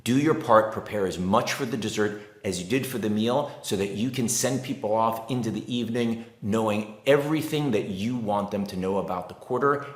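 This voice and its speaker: A man's voice: on the low side, normal speed, few pauses. A middle-aged American man explaining business advice in an emphatic, plain-spoken coaching manner as a host. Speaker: investor relations advisor and host. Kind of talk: explanatory talk to camera. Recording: roomy, echoing room.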